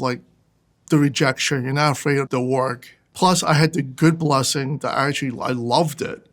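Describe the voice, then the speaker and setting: A man, a fund manager talking candidly about his career in a plainspoken, emphatic way. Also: fairly high pitch. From New York City. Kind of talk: conversational interview monologue.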